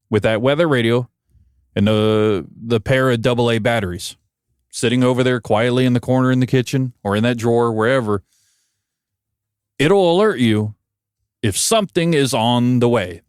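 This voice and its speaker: An American man giving conversational solo commentary as a podcast host, wry and emphatic, pausing for effect. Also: mid-range voice, smooth, no room sound, clean studio sound. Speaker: podcast host and broadcast engineer.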